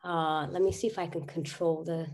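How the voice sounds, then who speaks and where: A young woman giving a slide presentation online, her voice a little raspy as she gets over a cold. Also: sits low, fairly even intonation, moderate pace, a little mellow. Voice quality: slightly raspy. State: getting over a cold, voice worn from chanting. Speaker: guest speaker, doctor. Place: online video conference.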